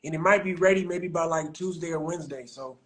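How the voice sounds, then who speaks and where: An American man hosting a casual podcast interview, relaxed and slangy, with plenty of fillers. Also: on the high side, quick pace. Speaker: podcast host. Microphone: remote live-stream call.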